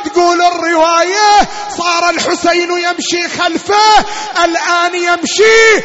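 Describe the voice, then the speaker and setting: A man delivering a half-chanted Shia lamentation sermon as a religious reciter, in an anguished, wailing, drawn-out voice. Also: extremely high pitch, fairly even intonation, crowd noise. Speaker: religious mourning reciter and preacher. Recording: long hall reverb, dull lo-fi sound, music-like backing.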